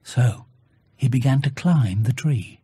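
A man narrating a children's story from a script in a measured, expressive storytelling manner. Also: mid-range voice, husky, dry recording. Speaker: audiobook narrator.

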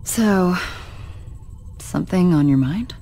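A woman's voice: on the low side, expressive, slow pace, dark tone, heavily acted. An actress voicing a stern green-skinned female warrior and former assassin, terse and guarded. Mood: dry. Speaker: game character.

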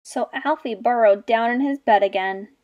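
A woman's voice: fairly high pitch, moderate pace, muffled recording. A young woman teacher reading aloud and explaining things expressively to young children. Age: young adult. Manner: expressive, addressing small children with questions. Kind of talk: story read-aloud and lesson for young children.

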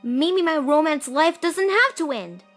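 A high, boyish voice for an earnest teenage fox in a cartoon audio drama, performed by a woman. The delivery is emotional and touchy, with music underneath. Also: lively intonation, a little slow. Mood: wistful. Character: fifteen-year-old boy cartoon fox, earnest and touchy. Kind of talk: fan audio drama acting.

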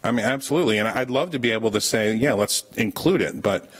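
Deep-voiced man explaining at a meeting. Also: quick pace. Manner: firm, explanatory, with frequent restarts. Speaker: town official presenting an ordinance. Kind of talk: public meeting discussion. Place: town meeting room, indoors.

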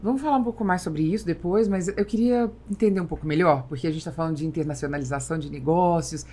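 A middle-aged Brazilian woman hosts a conversational podcast interview. Her voice sits a little low for a woman. She speaks into a close studio microphone in a small treated room. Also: fast, warm tone. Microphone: close boom-arm studio microphone.

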